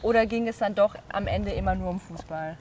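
A woman's voice: mid-range voice, a little fast, a little mellow, slight background noise. A young woman interviewing a guest outdoors, asking questions in a curious, conversational way. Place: outdoors at a stadium and a café terrace.

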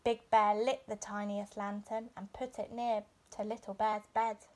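A woman's voice: mid-range voice, level tone, unhurried, darkish tone. A young adult woman narrating a children's bedtime story expressively, like a teacher reading aloud indoors. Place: small furnished room indoors.

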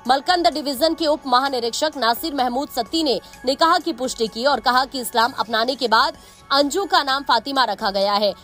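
Woman, Indian accent, high voice, scripted news narration, background music. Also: normal speed, fairly bright tone.

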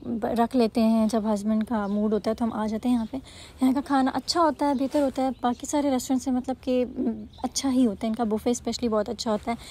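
A woman's voice: fairly high pitch, flat delivery, fairly quick, hardly any pauses, warm tone. A woman in her thirties chatting casually for a family vlog, rambling with fillers and corrections, filmed on a phone in a noisy restaurant. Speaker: vlogger. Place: busy restaurant. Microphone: phone camera.

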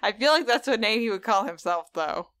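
Woman, podcast co-host, chatty, smooth clear voice, dry close mic. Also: fairly high pitch, lively intonation, brisk, a bit bright, dry recording. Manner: chatty, laughs easily. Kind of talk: casual book-club chat.

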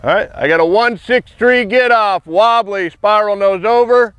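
High, clear-voiced man calling punt stats. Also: a bit bright, dry recording. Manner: brisk, repetitive stat calls. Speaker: kicking coach timing punts. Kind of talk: clipped play-by-play evaluation. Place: outdoor football field.

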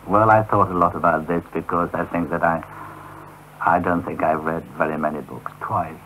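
A man's voice: sits low, steady tone, normal speed, fairly bright tone, slight background noise, poor audio. A middle-aged man talking cheerfully as a radio guest, heard through an old off-air cassette recording. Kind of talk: radio interview.

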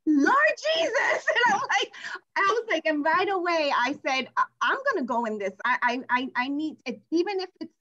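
A woman in her forties with a New York accent, talking on a video call in an animated, devout way. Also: high-pitched, moderate pace, dull audio. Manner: animated, devout, exclaiming praise. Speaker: guest participant. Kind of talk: casual video call chat. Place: room indoors. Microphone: video call webcam.

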